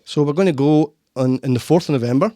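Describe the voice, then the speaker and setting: A man's voice: fairly high pitch, quick pace, dark tone, no echo. A Scottish man hosting a casual podcast chat from his studio, rambling with frequent restarts. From Scotland. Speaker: podcast host. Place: home studio.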